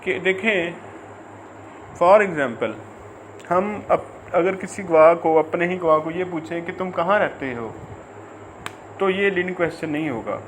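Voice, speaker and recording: Man, high voice, Pakistani accent, informal teaching, halting repetitive delivery, English terms mixed in, faint background noise. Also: low-quality recording. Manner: halting, repetitive, filler-heavy explaining; mixes English legal terms into speech. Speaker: law lecturer. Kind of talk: informal explanatory law lecture.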